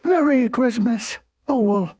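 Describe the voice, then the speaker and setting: Woman as kindly naive bear, mellow, slow, musing, dry sound, children's storybook. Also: on the high side, animated, no echo. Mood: warm, polite. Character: gentle, simple, kindly male teddy bear. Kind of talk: children's storybook character acting.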